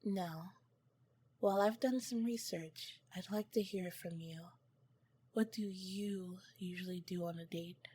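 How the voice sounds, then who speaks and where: Woman acting as shy alien scientist lady for asmr roleplay, soft breathy voice, takes her time, stiff formal wording, gets flustered. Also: lowish voice, fairly even intonation, measured pace. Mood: formal, polite. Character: awkward, earnest female alien scientist, shyly smitten.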